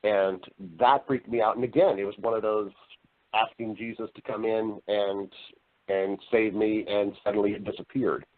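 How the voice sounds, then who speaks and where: A middle-aged American man with a fairly low voice, heard over a thin, narrow-band connection. He talks like an interview guest telling personal stories, earnest and emphatic, circling back over his phrases. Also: fairly even intonation, normal speed. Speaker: podcast guest, voice-over artist and storyteller. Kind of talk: conversational interview storytelling. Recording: narrow-band, radio-like call audio.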